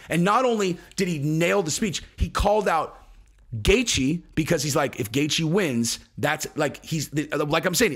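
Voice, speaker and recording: A man's voice: fairly high pitch, a bit bright, breathy edge. A man in his forties hosting a solo fight commentary show, talking intensely and emphatically at a desk microphone, full of profanity, fillers and restarts. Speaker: MMA commentary show host. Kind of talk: heated solo commentary to camera. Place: home studio with screens of fight photos behind. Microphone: desk podcast mic in front.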